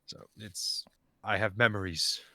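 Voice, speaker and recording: A man, guesting on a podcast with a bright, tense voice. His recording is dry and close. He rambles with lots of pauses. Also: lowish voice, steady tone, no echo. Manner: hesitant, rambling. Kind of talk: casual podcast chat.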